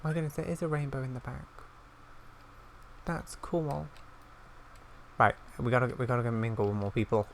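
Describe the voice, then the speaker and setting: A man reacting aloud while playing a game, expressive and full of gushing exclamations. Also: medium pitch, moderate pace, vocal fry, long pauses, slight background noise. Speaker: gaming video creator. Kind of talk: live gameplay reaction and reading dialogue aloud.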